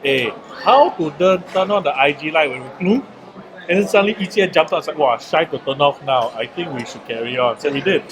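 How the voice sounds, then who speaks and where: A man from Singapore with a Singaporean English accent, interviewing a young poet in a chatty, animated way. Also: a little high, a little fast, a crowd in the background. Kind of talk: casual interview chat.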